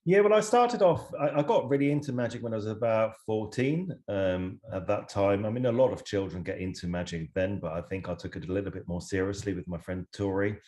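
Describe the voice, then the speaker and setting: Low-voiced man explaining thoughtfully. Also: fairly quick, few pauses, a little mellow. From Switzerland. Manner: thoughtful, explanatory, with hedging fillers. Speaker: psychology researcher and guest. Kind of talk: casual interview over video call. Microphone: video call.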